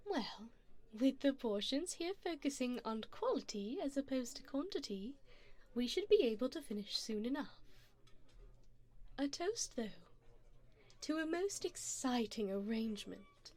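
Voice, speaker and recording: A woman's voice: high voice, sing-song, a little slow, darkish tone, heavily acted. A woman voicing an elegant, flirtatious vampire in an ASMR roleplay, speaking formally and teasingly to the listener with endearments. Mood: poised, businesslike.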